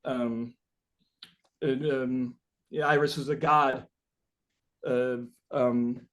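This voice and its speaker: A man being interviewed as a musician, chatting casually and feeling his way through rambling, halting explanations. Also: medium pitch, little pitch movement, a bit bright.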